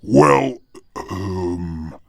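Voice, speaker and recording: A man's voice: deep voice, expressive, extremely slow, gravelly, a bit bright, dry recording, exaggerated voice. A man playing a blustery little hairy guardian. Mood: earnest. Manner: blustery, exclamatory. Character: gruff, hot-tempered small hairy creature guardian.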